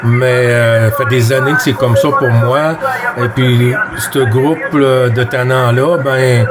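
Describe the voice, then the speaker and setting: A man's voice: mid-range voice, fairly even intonation, slow, loud music behind the voice. A man with a Quebec French accent, chatting casually and hesitantly as a CB radio operator at home. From Quebec, Canada. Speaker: CB radio operator and video host. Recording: clearly noisy home radio-room recording.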